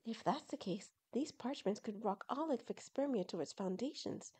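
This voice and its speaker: An anxious, learned mother fox from a storybook, voiced by a woman reader. Her voice is high and mellow, with earnest feeling in the way she talks. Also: animated, moderate pace, husky. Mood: thoughtful.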